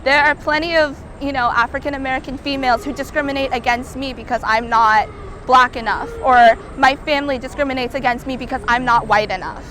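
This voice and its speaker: A woman's voice: high-pitched, fairly even intonation, a little fast, bright, forceful tone, slight background noise. A young woman from California answering interview questions outdoors, speaking in an earnest, candid way.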